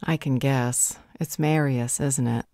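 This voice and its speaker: A woman's voice: very deep voice, fairly even intonation, slow pace, dark tone, no echo, studio quality, heavily acted. A woman playing a serious, worried werewolf in a fantasy audio roleplay, with an earnest manner. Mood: grave, probing.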